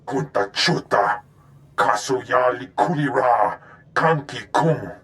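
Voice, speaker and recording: A man voicing a gruff alien in a video game, speaking an invented tongue. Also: medium pitch, lively intonation, slow, a bit bright. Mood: threatening.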